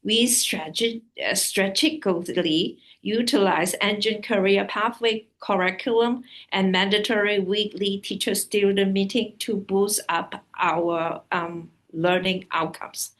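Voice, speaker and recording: A woman's voice: medium pitch, steady tone, a little slow, hardly any pauses, a bit bright, low-quality recording. A woman who is not a native English speaker, an adult education program leader, speaking in a webinar with many fillers and restarts. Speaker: adult ESL program administrator. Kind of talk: webinar panel remarks.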